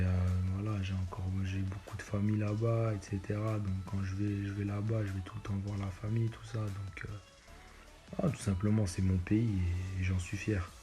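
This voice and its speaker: A young man in his twenties from Belgium, answering interview questions casually and hesitantly, with many fillers and restarts. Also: sits low. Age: 20s. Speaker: footballer interviewee.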